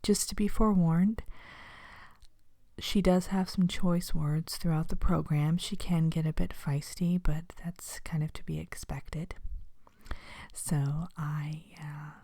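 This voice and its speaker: Low, soft-whispering woman ASMR host. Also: fairly even intonation, slow pace, dry recording.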